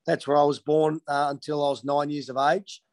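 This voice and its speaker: Aussie guy in his 30s, lotsa ums and restarts, kinda high voice, pretty flat. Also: brisk. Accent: Australian. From Western Australia. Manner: hesitant, many ums and restarts. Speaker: interviewee, former footballer. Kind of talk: casual interview answers.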